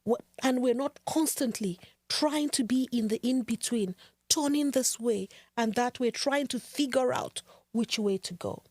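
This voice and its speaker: A woman hosting a podcast, giving an earnest, measured and rhetorical monologue. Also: fairly high pitch, a little mellow, no echo, clean studio sound. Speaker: podcast host.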